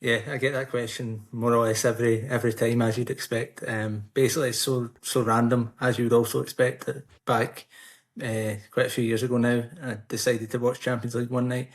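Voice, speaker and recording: A man from the United Kingdom, a podcast guest giving measured, explanatory answers in a casual interview. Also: medium pitch, flat delivery. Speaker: podcast guest, Swiss football commentator.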